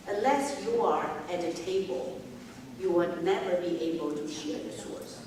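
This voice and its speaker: Middle-aged woman, conference speaker. Earnest, repeats phrases for emphasis. Low-set voice, recorded distant in a reverberant hall. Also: background noise. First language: Chinese. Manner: earnest, builds to rhetorical questions with repeated phrases. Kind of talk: public speech. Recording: echoey hall from far off.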